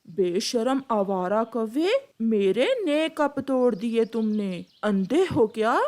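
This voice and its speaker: Woman voicing a firm, slow-talking pigeon uncle. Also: on the high side, expressive, darkish tone. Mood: scolding. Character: stern pigeon uncle running a tea stall. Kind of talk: Hindi animated moral-story acting.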